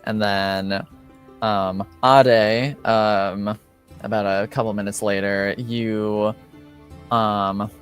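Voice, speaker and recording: A man serves as game master, narrating a tabletop roleplaying session at an unhurried, drawn-out pace. He talks in a loose, halting way and fills his pauses with ums and likes, while faint music plays quietly behind him. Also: lowish voice, clear, resonant tone. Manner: relaxed, hesitant, full of ums and likes.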